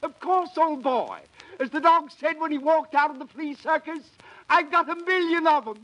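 An actor playing a pompous would-be comedian who tells corny puns with grand theatrical flair, in 1940s radio comedy style. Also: male voice, extremely high pitch, moderate pace, fairly bright tone, poor audio. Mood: hammy.

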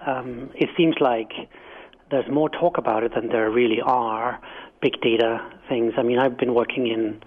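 A man's voice: mid-range voice, fairly even intonation, a little slow. A man from Denmark with a Danish accent, a guest author answering questions in a radio interview, thoughtful, with frequent restarts and fillers. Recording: phone-line audio.

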